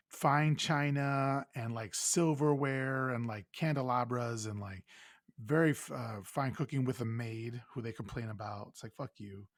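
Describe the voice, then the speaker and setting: Male podcast host, medium-pitched voice, casual film recap, informal and salty, very dry recording. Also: creaky, no room sound.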